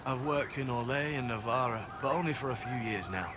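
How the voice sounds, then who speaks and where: A man's voice: mid-range voice, animated, a little fast, close to an everyday voice. A man playing a guarded elf spy and former slave in a video game, speaking dryly and earnestly. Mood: matter-of-fact. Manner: dry, matter-of-fact, quietly earnest. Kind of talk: video game cutscene acting. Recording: game capture with loud ambient background noise.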